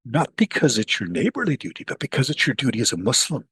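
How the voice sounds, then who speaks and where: A man's voice: medium pitch, wildly swooping pitch, fast talker, fairly bright tone, husky, no room sound, clean studio sound. A middle-aged man from Pakistan, an author and professor, talking animatedly in an interview, often saying "you know" and acting out the voices of others. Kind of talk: interview conversation.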